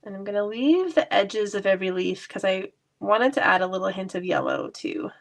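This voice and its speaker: A woman's voice: mid-range voice, big pitch swings, brisk. A woman with a stuffy cold voice, chatting casually and laughing lightly as she demonstrates card making in her basement craft room. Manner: chatty, laughs often, self-deprecating.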